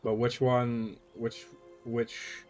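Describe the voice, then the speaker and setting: A man in his twenties chatting casually on a live stream while playing a game, excitable and quick to swear. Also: medium pitch, over music. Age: 20s. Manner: excitable, swears, laughs easily. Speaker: mod developer streaming gameplay.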